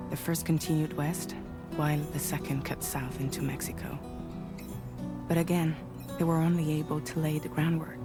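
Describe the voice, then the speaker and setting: An actress playing a composed woman guide in a video game, explaining a mission in a measured way. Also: low voice, natural voice. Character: composed woman guide briefing a courier, quietly caring. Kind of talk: video game cutscene dialogue. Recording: music behind.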